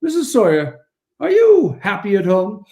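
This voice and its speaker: A man acting a genial, sprightly old Santa figure in a 1940s-style radio play, courteous, chatty and gently teasing. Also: high voice, wildly swooping pitch, normal speed, heavily acted.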